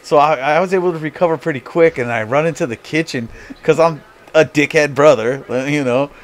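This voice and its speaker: A man's voice: fairly high pitch, brisk. An American man casually telling a story on a live stream, swearing freely in a crude way. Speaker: video host and streamer. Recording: clearly noisy background.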